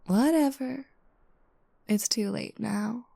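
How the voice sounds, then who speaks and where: A mellow, slightly gravelly young girlfriend, acted by a woman in an intimate audio roleplay, speaking slowly and softly right up close. She is playful and teasing, with a drowsy just-woke-up manner and a dry, roomless sound. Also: a little high, no room sound, full character voice. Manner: soft, breathy asides, teasing, unhurried.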